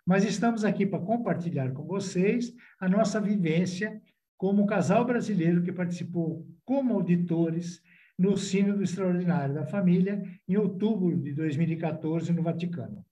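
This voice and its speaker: Italian-born older man, low and mellow. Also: normal speed, rough recording. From Italy. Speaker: guest. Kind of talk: podcast interview.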